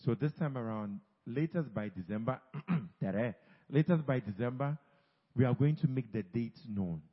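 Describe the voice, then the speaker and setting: Male pastor, husky, urging, echoing church hall, muffled sound. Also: mid-range voice, animated, normal speed. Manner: urging, repeats phrases, teases congregation members. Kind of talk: sermon exhortation.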